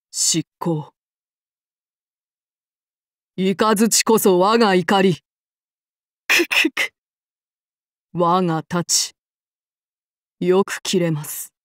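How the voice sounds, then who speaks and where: A voice actress playing a courteous, archaic-spoken samurai woman with a demon side, her soft formal speech giving way to shrill battle cries. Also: dry recording, clean studio sound. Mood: fierce, battle cries. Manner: courteous and archaic with a menacing undertone. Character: polite, bloodthirsty demon-blooded samurai woman. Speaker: voice actress for a game character. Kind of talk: game character voice lines.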